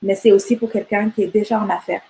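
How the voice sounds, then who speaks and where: A woman's voice: medium pitch, steady tone, fast, dark tone, slight background noise, low-quality recording. A middle-aged woman from Rwanda, chatting animatedly as an interview guest, often repeating words as she restarts her sentences. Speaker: guest entrepreneur. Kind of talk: casual interview chat.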